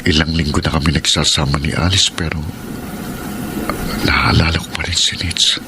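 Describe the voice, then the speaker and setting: A man's voice: very deep voice, fairly even intonation, normal speed, noisy background. An actor playing a brooding, lovelorn man in a Filipino radio drama, speaking earnestly and confidingly. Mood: confiding, troubled. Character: brooding lovelorn man torn over his estranged wife. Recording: radio drama with loud music underneath.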